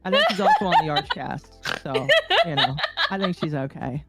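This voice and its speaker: A woman's voice: low voice, huge pitch swings, normal speed. A woman chatting casually as a podcast co-host, with a teasing, mock-serious manner. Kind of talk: casual chat. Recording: background music under voices. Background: background music.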